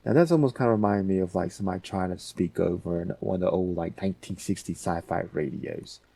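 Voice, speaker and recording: A man hosting a casual software tutorial, talking informally and thinking aloud as he goes. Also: low voice, fast talker, vocal fry, dry recording. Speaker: audio editing tutorial host.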